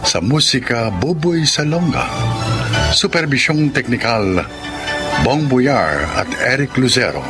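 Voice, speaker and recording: A man's voice: mid-range voice, expressive, unhurried. A Filipino man announces in scripted radio drama style, reading closing lines and credits. Prominent music plays under his voice.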